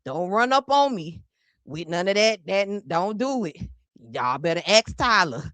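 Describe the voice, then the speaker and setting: A woman's voice: mid-range voice, sing-song, normal speed, a bit bright, no echo. A woman hosting a casual political commentary livestream, chatty and teasing, who swears freely and laughs often. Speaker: podcast host.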